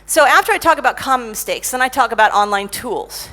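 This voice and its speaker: A middle-aged woman giving a conference talk about technical writing, lively and self-mocking as she tells stories. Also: a little high, fast. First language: English. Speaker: conference speaker, technical writer.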